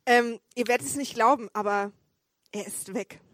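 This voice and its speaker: A woman's voice: on the high side, normal speed, dry recording, clean studio sound, lightly acted. A woman playing a flustered, dramatic client who briefs boy detectives in a comic audio play. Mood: dramatic, urgent. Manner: dramatic, impatient.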